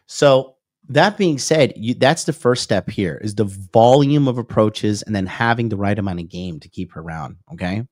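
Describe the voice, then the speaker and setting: Lower-voiced man lecturing on dating. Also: moderate pace, a little mellow, dry recording, clean studio sound. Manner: lecturing, punctuates points with "okay?" and "right?"; reads out and answers viewer comments. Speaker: dating coach. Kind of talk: livestreamed whiteboard lecture.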